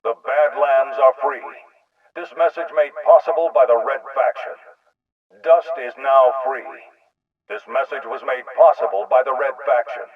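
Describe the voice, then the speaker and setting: An actor playing a defiant male rebel broadcaster delivering propaganda slogans over a filtered loudspeaker in a video game. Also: medium pitch, unhurried. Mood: proclaiming. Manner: declarative, sloganeering. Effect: loudspeaker radio filter. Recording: tinny band-limited loudspeaker broadcast, distant.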